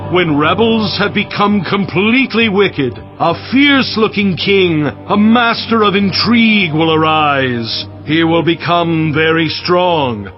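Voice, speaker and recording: Slow, high-pitched, solemn angel. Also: male voice. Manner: slow, declamatory, portentous. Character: angel Gabriel, solemn commanding male heavenly messenger. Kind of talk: dramatized scripture reading. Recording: dull audio under background music.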